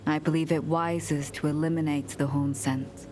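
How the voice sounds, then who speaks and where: A woman playing a low-voiced, coldly resolute female knight. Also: little pitch movement, a little mellow, slight background noise, lightly acted. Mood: grave resolve. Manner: formal, measured, archaic phrasing. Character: resolute zealous female knight, courteous, coldly suspicious, quietly menacing. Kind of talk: dramatic fantasy game dialogue.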